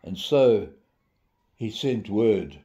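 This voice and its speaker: An older man, giving an informal devotional in a deliberate storytelling manner with dramatic emphasis. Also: fairly low pitch, lively intonation, dark tone, muffled recording. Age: 70s. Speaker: devotional preacher. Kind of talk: informal sermon with storytelling and scripture reading.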